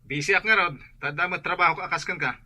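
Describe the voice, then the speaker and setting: A stern parent on the phone, scolding fast in a low, harsh voice, as a woman puts it on. The voice sounds forceful and sharp, with its pitch dropped well below her usual talk.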